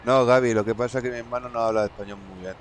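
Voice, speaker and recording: A man's voice: medium pitch, fairly even intonation, rapid-fire, a little hiss or hum. A man streaming a farming game, chatting casually with friends and laughing, switching between Spanish and German. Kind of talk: casual gaming chat.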